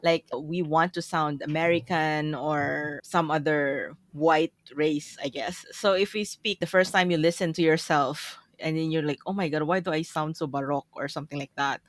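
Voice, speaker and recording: A woman's voice: low-pitched, steady tone, normal speed, hardly any pauses, no echo. Filipino woman guest, chatty, dry remote-call sound. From Philippines. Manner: chatty, frequent laughs and "right?" tags. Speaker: podcast guest. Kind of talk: casual chat. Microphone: remote call recording.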